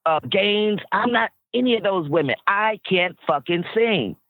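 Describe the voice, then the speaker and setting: A woman with a very deep voice, telling stories bluntly and with profanity as a guest in a casual interview chat. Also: lively intonation, a little slow, no echo. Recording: tinny phone-like line.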